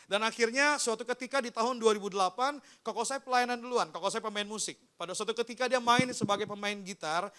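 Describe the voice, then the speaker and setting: A man's voice: sits high, fast, few pauses, sharp tone, smooth. A man, a pastor sharing a personal testimony in an informal storytelling way, often addressing his congregation directly.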